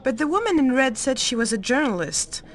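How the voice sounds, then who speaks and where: A woman's voice: high voice, very animated, a little fast. An actress playing a chatty, inquisitive woman guest at an embassy party in a video game. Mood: curious. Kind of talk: video game cutscene acting.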